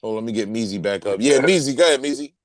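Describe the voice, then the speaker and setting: A man's voice: mid-range voice, expressive, rapid-fire, no room sound, clean studio sound. A man hosting a casual live stream who keeps affirming his guest with "salute" and "facts".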